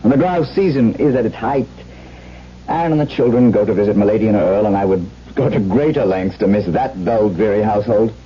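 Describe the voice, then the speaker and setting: Actor as a low-voiced brooding baron, old radio drama. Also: male voice, fairly quick, slight background noise, natural voice. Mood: pleading. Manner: earnest, formal, melancholy. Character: brooding, haunted aristocratic husband, a baron. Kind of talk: 1970s radio drama acting. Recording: dull vintage radio broadcast, music behind.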